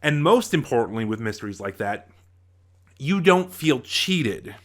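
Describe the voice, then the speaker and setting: A man with a middling pitch talks to viewers as a reviewer. His delivery is casual and unscripted. He halts now and then, trails off and circles back to finish a thought. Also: sing-song, measured pace. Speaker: reviewer, host of audio drama reviews.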